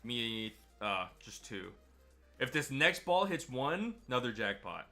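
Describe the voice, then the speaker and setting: A man streaming a game, chatty and excitable, sounding a bit stuffy from a cold. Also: mid-range voice, measured pace, soft background music. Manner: chatty, excitable over wins. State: stuffy, slightly sick. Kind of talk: gameplay commentary.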